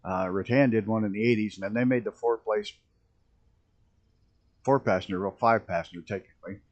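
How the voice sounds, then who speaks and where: Rough-voiced man streaming flight sim. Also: fairly low pitch, fairly quick, highs cut off. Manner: rambling, self-interrupting, chuckling at mishaps. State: rough morning voice. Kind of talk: casual live-stream commentary.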